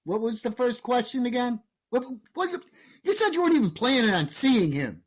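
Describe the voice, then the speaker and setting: Woman acting a touchy, blustering dimwit tough guy, highish voice, very lively swings, stage comedy. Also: brisk. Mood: defensive. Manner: blustering, defensive. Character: dim, hot-headed, touchy male thug posing as intellectual.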